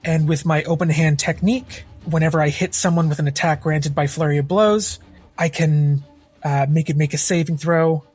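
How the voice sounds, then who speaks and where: A man playing a tabletop role-playing game, improvising his character's actions in the third person in a halting way. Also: fairly high pitch, steady tone, darkish tone, breathy edge. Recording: background music under the voice.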